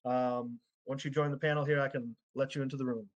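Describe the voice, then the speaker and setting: A man hosting an online panel, upbeat and quick when he gets going. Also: mid-range voice, little pitch movement, muffled recording. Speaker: panel host.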